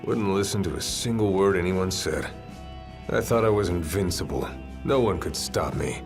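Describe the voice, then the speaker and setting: A man's voice: low voice, moderate pace. A man playing a wry, seasoned older warrior in a video game, speaking like a reflective mentor. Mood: rueful. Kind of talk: video game cutscene acting. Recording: music behind the voice.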